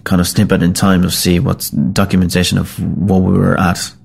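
Gravelly low-voiced Finnish man. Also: little pitch movement. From Finland. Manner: halting, rambling, self-deprecating. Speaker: rock musician. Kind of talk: casual spoken account.

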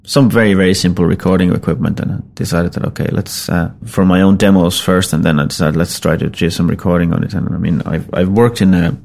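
A middle-aged Finnish-born man with a Finnish accent, a rock musician talking casually in English, his second language, in a halting, rambling, self-deprecating way. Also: low-pitched, steady tone, creaky, darkish tone. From Finland. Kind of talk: casual spoken account.

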